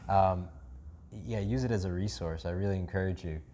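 A man's voice: low-pitched, a little fast, warm tone. A man with a New Zealand accent hosting a casual podcast chat, enthusiastic and rambling, with many ums and restarts. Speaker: podcast host.

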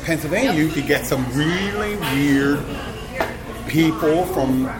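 A man's voice: a little high, takes it slowly. A man with a Philadelphia accent hosting a casual chat, rambling and teasing. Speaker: show host. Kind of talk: casual radio-style chat. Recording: roomy venue with loud crowd.

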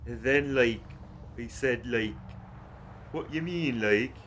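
Faint noise sits behind a very slow-talking man acting as a dim, bewildered stoner. He speaks haltingly and inarticulately, padding each phrase with filler, in a comic radio play. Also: medium pitch, a bit bright. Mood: confused. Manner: halting, tacks "like" onto everything.

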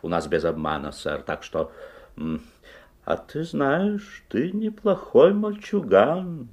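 A man's voice: medium pitch, big pitch swings, slow, a little mellow. An actor playing an uncanny, teasing magic-shop salesman in a radio play, with a courteous, ingratiating, theatrical delivery. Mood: boastful, courteous.

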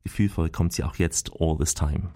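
A man co-hosting a radio travel show, reporting from a trip and voicing over interviews in a lively, chatty way. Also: rumbling low, moderate pace, over loud music. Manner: lively, chatty storytelling with asides.

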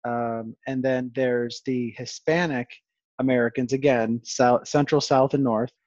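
A man's voice: mid-range voice, fairly even intonation, measured pace, darkish tone, no echo. Man, podcast host, stuttering, casual chat, dry lo-fi recording. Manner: stuttering, restarts phrases often. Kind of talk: casual conversation. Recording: dull, dry lo-fi sound.

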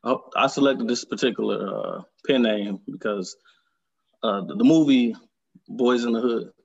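Husky man, reflective. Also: mid-range voice, highs cut off. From Detroit, Michigan. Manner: reflective and earnest, halting. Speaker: author, interview guest. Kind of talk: conversational interview.

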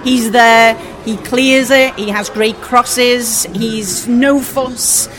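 A woman's voice: a little high, fairly even intonation, extremely slow, over music. A woman, talking upbeatly about favourite players in a bar. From Liverpool, England. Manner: upbeat, admiring. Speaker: football fan interviewee. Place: crowded bar.

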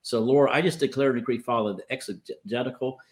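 A man in his fifties with a speech impediment, preaching and praying spontaneously in a halting, repetitive way on a livestream. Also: medium pitch. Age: 50s. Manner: halting, repeats and stumbles over words. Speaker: preacher leading prayer. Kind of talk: spontaneous charismatic prayer and exhortation. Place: online livestream call.

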